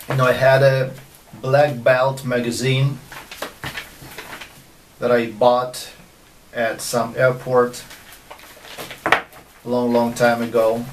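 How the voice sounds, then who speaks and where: A male video host speaks English with a Russian accent and a mid-pitched voice. His delivery is casual and halting as he addresses viewers. The microphone sounds some distance away from him. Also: slight background noise. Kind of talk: casual vlog talk to viewers.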